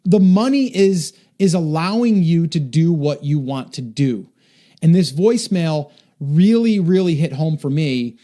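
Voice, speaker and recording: Earnest, mellow-voiced male podcast host. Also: a little high, lively intonation, a little slow. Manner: earnest, motivational, repeats himself for emphasis. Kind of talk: solo podcast monologue.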